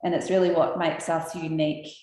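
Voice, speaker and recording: A woman speaks as a panelist in a very low, flat voice with a gravelly edge. She pauses often with "um" as she gathers her thoughts. Her voice rings in a heavily echoing room. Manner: hesitant, many "um" pauses. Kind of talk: panel discussion.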